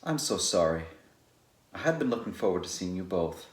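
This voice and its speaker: A man's voice: deep voice, big pitch swings, normal speed. A man playing a polite, slightly awkward scholarly bachelor in a dramatized novel reading. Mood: hesitant, musing. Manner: courteous, slightly awkward, hesitant. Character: polite, hesitant single man, a scholarly dinner host.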